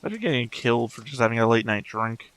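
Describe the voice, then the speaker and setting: A man's voice: mid-range voice, very animated, fast talker, a bit bright, low-quality recording. A man hosting a reaction show, commenting casually and off the cuff in a wry, musing way.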